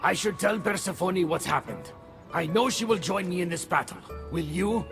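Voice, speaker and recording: An actor playing an eager, devoted messenger god in a video game, earnest and theatrical in delivery. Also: male voice, sits high, lively intonation, normal speed. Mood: admiring. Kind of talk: video game cutscene acting. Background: faint background music.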